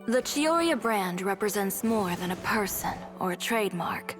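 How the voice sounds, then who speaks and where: A woman's voice: medium pitch, expressive, normal speed, over music, natural voice. An actress playing a confident, proud young woman fashion designer in a video game, speaking with composed, concise assurance. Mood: proud, resolute. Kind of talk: scripted video game acting.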